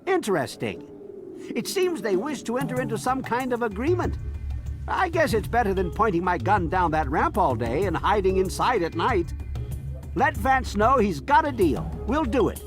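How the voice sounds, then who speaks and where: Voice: mid-range voice, big pitch swings, moderate pace, natural voice. An actor playing a weary, pragmatic wasteland settlement leader, matter-of-fact and obliging, as video game dialogue. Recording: music behind the voice.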